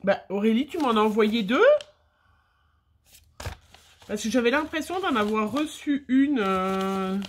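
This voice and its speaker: A woman's voice: a little high, animated, measured pace, pauses often, a little hiss or hum. Chatty French woman with a slight cold. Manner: chatty, lots of fillers and restarts, rambling. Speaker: scrapbooking vlogger. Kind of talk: casual chat, opening mail on camera.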